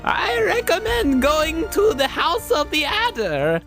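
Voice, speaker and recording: A woman's voice: extremely high pitch, wildly swooping pitch, slow pace, fairly bright tone, soft background music, full character voice. A woman acting a fawning, eager innkeeper in an audio drama, effusive like a salesman, in broken English phrasing. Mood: eager selling. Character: fawning, eager Cairo innkeeper, seedy hustler.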